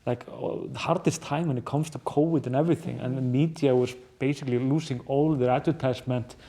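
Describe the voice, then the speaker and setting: A man's voice: medium pitch, steady tone, a little fast, dark tone. An Icelandic man with a strong Icelandic accent, co-hosting a podcast and telling a story casually, with many restarts. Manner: hesitant, many restarts, laughs often. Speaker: podcast co-host, journalist.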